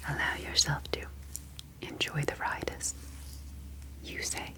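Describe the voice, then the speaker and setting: A woman voicing a calm, clinical ocean alien scientist in an ASMR sci-fi roleplay, whispering breathily with formal, measured phrasing. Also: close to an everyday voice. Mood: apologetic, explaining.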